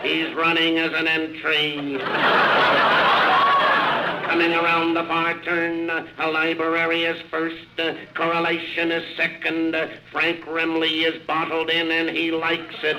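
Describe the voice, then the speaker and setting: An actor playing a deadpan racetrack announcer in a 1950s radio comedy. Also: male voice, fairly high pitch, close to an everyday voice. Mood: calling the race. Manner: deadpan, measured delivery. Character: deadpan male racetrack public-address announcer. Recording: slightly noisy old radio recording.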